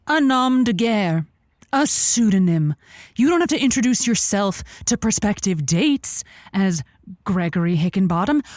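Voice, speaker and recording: A woman playing a game but halting advice-show host in improvised radio comedy, repeating herself and using showily learned words. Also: mid-range voice, a little mellow, dry recording, clean studio sound, close to an everyday voice. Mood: sympathetic, exasperated.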